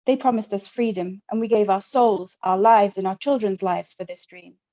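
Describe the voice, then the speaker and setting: A woman's voice: medium pitch, fairly even intonation, normal speed, dark tone, highs cut off, natural voice. A woman reading as a fiery rebel orator. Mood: defiant, rousing. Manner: fiery, rousing speech to a crowd. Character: defiant young male rebel orator.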